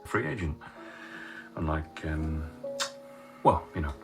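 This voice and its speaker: A man's voice: extremely low pitch, animated, slow, gravelly, exaggerated voice. An actor playing a cheeky male technician who teases his boss in a joking way, in a radio drama. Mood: wry. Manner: teasing and jokey. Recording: roomy echo with music underneath.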